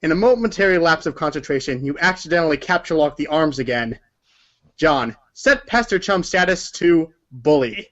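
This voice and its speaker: A man's voice: fairly high pitch, lively intonation, moderate pace, a bit bright, dull audio. A man narrating a story aloud as if from a storybook, sometimes stumbling over words and correcting himself. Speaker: narrator of a group readers' theater.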